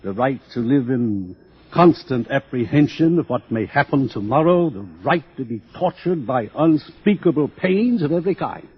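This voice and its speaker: A man's voice: medium pitch, expressive, normal speed, husky. A man playing an urbane, smug older world controller in a theatrical radio drama, pompous and patronizing as he lectures. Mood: smooth, patronizing. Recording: dull, lo-fi radio drama recording.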